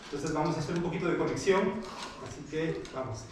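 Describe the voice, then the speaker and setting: A man's voice: fairly high pitch, fast, distant mic, noisy background. A man in his forties from Guatemala, giving an informal talk to students, hesitant and friendly, with many fillers and restarts. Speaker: guest speaker. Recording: echoey hall, picked up from afar.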